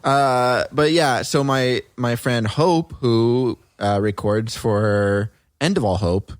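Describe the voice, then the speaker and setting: A man's voice: medium pitch, slow pace, no room sound, studio quality. A man co-hosting a podcast in laid-back casual chat, rambling through stories and often saying "like". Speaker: podcast co-host.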